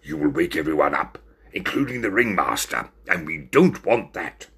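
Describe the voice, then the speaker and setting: A man's voice: very deep voice, big pitch swings, moderate pace, vocal fry, a bit bright, slightly rough, pitched below the performer's own voice, exaggerated voice. A man voicing a sensible, kind but exasperated male tapir in a children's story, speaking emphatically. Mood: hushing.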